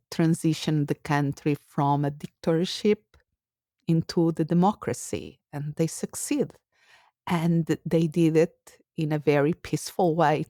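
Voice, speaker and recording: A woman's voice: sits low, warm tone, dry recording, clean studio sound. A woman in her fifties from Portugal with a Portuguese accent, hosting a reflective solo podcast with a thoughtful manner. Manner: thoughtful, unhurried, with frequent uh fillers. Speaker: podcast host.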